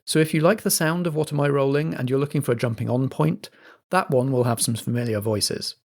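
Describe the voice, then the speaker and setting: A man with a medium-pitched voice speaks as a podcast compere. He delivers announcements in chatty, theatrical patter, addressing listeners directly. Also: a little fast. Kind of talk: friendly programme announcements read to listeners.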